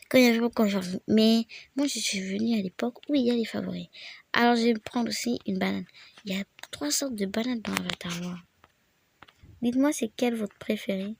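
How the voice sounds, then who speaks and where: A woman's voice: mid-range voice, gravelly, slight background noise. A woman with a head cold, rambling through a casual game tutorial and dropping into whispered asides. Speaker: gaming video creator.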